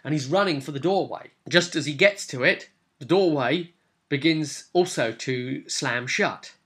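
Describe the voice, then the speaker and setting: A man's voice: fairly high pitch, measured pace, a bit bright. A man speaking casually as a game master, recapping a tabletop role-playing session in a rambling, enthusiastic explaining way.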